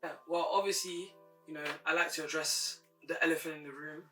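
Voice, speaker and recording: A young woman with a deep voice, a guest speaking candidly and reflectively in an interview. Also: fairly even intonation, fairly quick, sharp tone. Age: young adult. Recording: distant mic with music playing behind.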